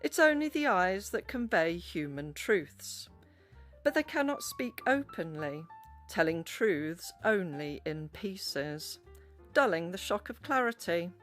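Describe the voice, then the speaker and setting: A woman reciting poetry solemnly, with measured, deliberate phrasing. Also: fairly low pitch, expressive, faint music behind.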